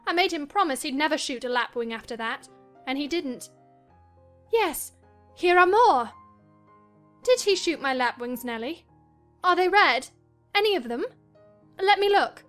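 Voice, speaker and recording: A woman playing a feverish, delirious young gentlewoman in a dramatized classic novel reading, theatrical and shifting between dreamy murmurs and anxious outbursts. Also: high voice, expressive, unhurried, pauses often, soft background music. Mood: agitated.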